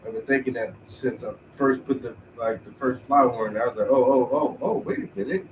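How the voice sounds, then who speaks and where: A man thinking aloud in a relaxed, rambling way as he paints, sounding stuffy and tired with a cold. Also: medium pitch, fairly quick, far from the mic, background noise. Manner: laid-back, rambling, thinking aloud, swears casually. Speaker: painter streaming while painting. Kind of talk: casual talk to himself while painting.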